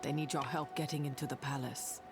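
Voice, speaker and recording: A man playing a devoted, excitable merchant in a dark fantasy game, effusive and affectionate. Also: mid-range voice, a little fast, over loud music, natural voice. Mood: fond, teasing.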